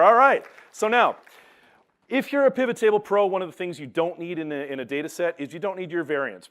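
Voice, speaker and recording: A man giving a lively, joking live software demonstration on a conference stage. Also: a little high. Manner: upbeat, joking, talks while clicking through steps. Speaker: presenter. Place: large conference hall stage. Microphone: lapel mic, cutting in and out at first. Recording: roomy distant hall sound, noisy.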